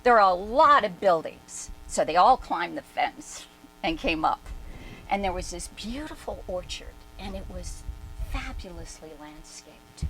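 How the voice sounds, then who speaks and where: A woman's voice: fairly low pitch, lively intonation, measured pace, sharp tone, soft background music, exaggerated voice, poor audio. A woman performing a dramatic reading as a brash, independent-minded woman recalling her past in emphatic, conversational asides. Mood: excited. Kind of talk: dramatic reading, animated first-person storytelling.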